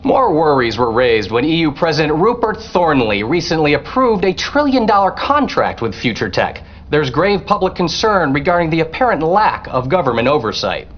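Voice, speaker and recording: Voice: medium pitch, big pitch swings, moderate pace, few pauses. A performer playing a serious news reporter in a video game, reading with formal urgency. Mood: serious, urgent. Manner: formal, urgent newsreader delivery. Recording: dull lo-fi game audio.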